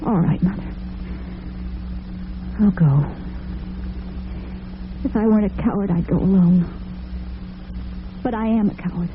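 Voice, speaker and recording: A woman's voice: medium pitch, measured pace, pauses often, natural voice. An actress playing a timid, troubled young working woman in an old-time radio drama, hesitant and earnest. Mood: anxious, resolute. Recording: muffled, noisy old radio recording.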